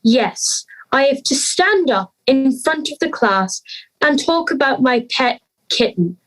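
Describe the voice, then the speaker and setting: A woman's voice: high-pitched, lively intonation, slow, fairly bright tone, exaggerated voice, rough recording. A young woman playing an earnest, anxious schoolgirl in an audio drama.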